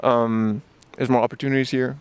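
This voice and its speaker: A man's voice: mid-range voice, flat delivery, fairly quick, clear, resonant tone, no room sound. A man in his twenties, chatting casually in an outdoor park interview with lots of fillers. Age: 20s. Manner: chatty, lots of likes and ums.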